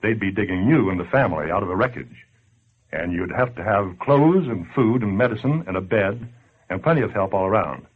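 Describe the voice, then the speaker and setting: Casting: blunt, slangy male scold for 1940s radio, husky, very animated delivery, old muffled recording. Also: fairly low pitch, a little fast. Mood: blunt, urging. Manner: blunt, sardonic, colloquial. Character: tough, slangy streetwise man scolding complacent listeners. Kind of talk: 1940s radio acting, direct address to listener.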